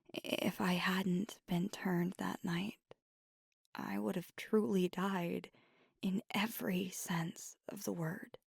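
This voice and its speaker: A woman voicing a gentle, remorseful vampire girlfriend in an intimate ASMR roleplay, speaking in a halting, breathy whisper close to the microphone. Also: lowish voice, dry recording, full character voice. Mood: wistful, vulnerable. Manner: halting, hushed, mostly whispered confession. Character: gentle, remorseful female vampire girlfriend, anxious, tender confessor. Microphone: close, dry microphone.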